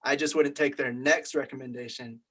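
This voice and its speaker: A man's voice: medium pitch, steady tone, normal speed, a bit bright. A man in a casual group discussion, talking in a conversational, hedging way. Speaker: media studies student.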